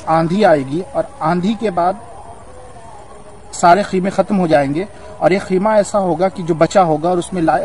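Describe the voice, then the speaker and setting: A man's voice: a little high, darkish tone. A man narrating a shrine's history as devotional storytelling on location, in an earnest, reverent, formal manner. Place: outdoors on a hilltop at a shrine. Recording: outdoor recording with clear ambient noise.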